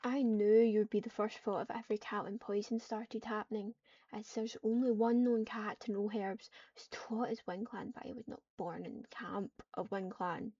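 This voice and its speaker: A woman voicing a scheming, scornful evil cat in an online game roleplay, with measured, theatrical, formal delivery. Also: medium pitch, fairly even intonation, warm tone, breathy edge. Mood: smug menace. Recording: dull, distant home microphone.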